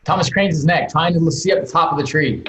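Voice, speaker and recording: A man's voice: a little high, fast, a bit bright, slight background noise. A man informally narrating stage directions for a script read on a video call. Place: indoor room, video call. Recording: echoey room on video call.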